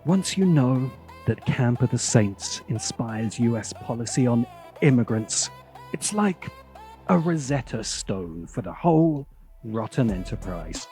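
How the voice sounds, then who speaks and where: A man's voice: medium pitch, expressive, a little slow, warm tone. A man hosting a scripted video essay, narrating with sardonic, dramatic emphasis. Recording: music playing behind the voice.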